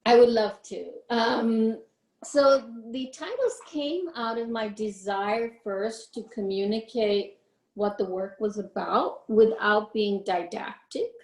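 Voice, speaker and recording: A woman's voice: fairly high pitch, a little mellow. Reflective woman in her fifties, roomy sound. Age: 50s. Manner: reflective, searching for words with frequent ums. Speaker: invited artist answering questions. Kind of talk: thoughtful unscripted explanation. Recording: roomy echo.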